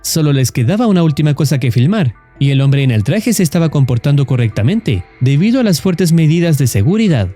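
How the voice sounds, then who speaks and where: Mellow, expressive male narrator over music. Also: fairly high pitch, fairly quick, hardly any pauses. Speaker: narrator of horror recap videos. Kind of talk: scripted narration. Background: background music.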